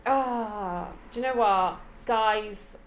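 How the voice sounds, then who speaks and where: Woman, podcast host, mid pitch, upbeat, encouraging. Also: extremely slow, slight background noise. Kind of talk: casual chat.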